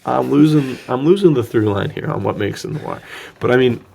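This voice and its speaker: A man co-hosting a podcast in casual film discussion, joking, with a gravelly, husky voice. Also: medium pitch, a little fast, darkish tone. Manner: joking, laughs easily. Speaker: podcast co-host.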